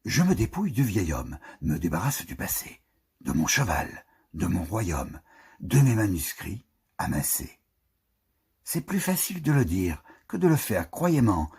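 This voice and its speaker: A man reading poetry aloud in a theatrical, expressive way, declaiming with dramatic swells and hushed, breathy phrase endings. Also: sits low, measured pace. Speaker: poetry reader.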